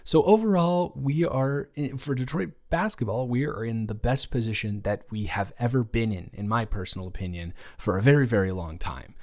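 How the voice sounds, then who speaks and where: A man's voice: mid-range voice, normal speed, few pauses, close to an everyday voice. A man playing a caring, awkward young roommate in an improvised intimate audio roleplay, speaking casually with rambling, stammering, reassuring hesitation.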